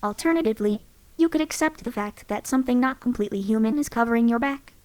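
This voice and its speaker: A woman's voice: fairly high pitch, fast, a little mellow, natural voice. A woman voicing a blunt, cocky female robot companion in a game, with dry, cutting sarcasm. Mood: confident.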